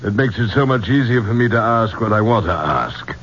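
A man's voice: fairly low pitch, expressive, fairly quick, a bit bright. An actor playing an elderly, courtly male archaeologist in a 1970s radio drama, speaking theatrically and rhapsodically. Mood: enraptured. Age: older. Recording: muffled, hissy old radio broadcast.

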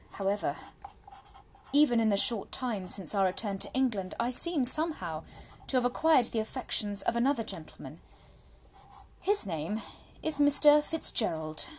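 A woman playing an anxious, spirited young Victorian gentlewoman, confiding in her brother through letters in a formal, genteel and expressive dramatic monologue. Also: a little high. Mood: exasperated, dismayed.

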